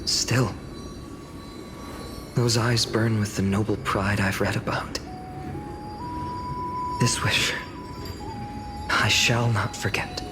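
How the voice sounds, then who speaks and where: A man's voice: lowish voice, fairly even intonation, takes it slowly, long pauses. A man playing an earnest, doubtful young village hero in a fantasy video game, with a hesitant, musing delivery. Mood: solemn, musing. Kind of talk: English video game voice acting. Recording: long hall reverb under music.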